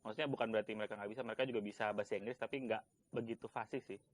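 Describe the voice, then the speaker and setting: A man's voice: lowish voice, steady tone, racing. A man from Indonesia with a Jakarta accent, talking casually as an interview guest in loose, informal phrases. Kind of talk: casual chat.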